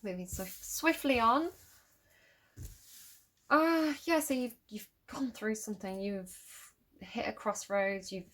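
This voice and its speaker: A woman's voice: fairly high pitch, takes it slowly, pauses often, fairly bright tone. Manchester woman musing through a tarot reading. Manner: musing, repeats phrases, intuitive; reads card meanings aloud from a book. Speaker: tarot reader. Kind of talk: casual tarot reading to camera.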